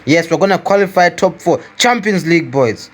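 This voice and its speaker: A man's voice: on the high side, lively intonation, normal speed. A man hosting a gaming video, giving casual gameplay commentary as he thinks aloud, repeating phrases and addressing viewers. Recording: clearly noisy home recording.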